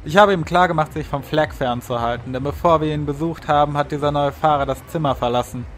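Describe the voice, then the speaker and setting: A man's voice: on the high side, fast talker, slight background noise, close to an everyday voice. A man playing a smug, threatening henchman in an audio drama. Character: threatening male henchman, smug, trigger-happy.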